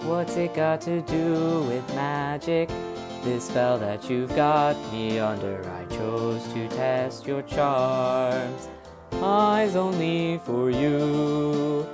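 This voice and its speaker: A woman plays a lovestruck crooner in a radio play. She sings in a low voice, extremely slowly, with loud music all around her. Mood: dreamy, lovestruck. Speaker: singer in an audio drama. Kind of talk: slow romantic crooned song. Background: loud music accompaniment.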